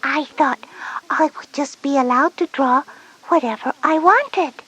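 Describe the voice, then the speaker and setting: Tiny breathy kid girl, high voice, talks real slow, curious n kinda stubborn, kids story tape. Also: a bit bright, low-quality recording. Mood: eager, naive. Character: young girl Meg, curious kindergartner, a little stubborn. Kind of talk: scripted educational audio story dialogue.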